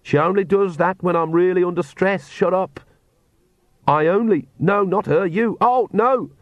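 Anxious young witch woman, done by a male reader, stubborn, strained, easily exasperated, high-set pitch, dry studio sound. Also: a little slow, dry recording. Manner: strained, indignant.

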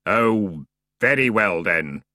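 A man's voice: fairly low pitch, lively intonation, slow pace, pushed and bright, no room sound, full character voice. A man voicing a hooded skeletal ferryman of the dead, weary and grave with a hollow tone. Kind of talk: audiobook character voice.

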